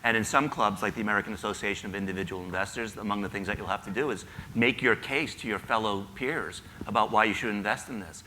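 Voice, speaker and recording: Fast, bright-voiced man explaining on a panel. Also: fairly low pitch, slight background noise, poor audio. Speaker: panelist, retirement editor. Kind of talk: casual panel answer. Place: conference hall.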